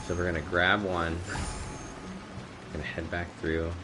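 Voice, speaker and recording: A man's voice: low-pitched, flat delivery, unhurried, very noisy. A man giving casual, slangy, sweary live commentary while streaming a video game. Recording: game music under the voice. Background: video game music.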